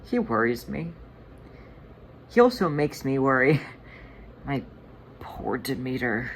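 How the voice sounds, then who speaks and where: A performer voicing an earnest, worn-down male cat leader who speaks haltingly and vulnerably, in a storybook fan reading. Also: mid-range voice, sing-song, slight background noise. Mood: tearful, fragile.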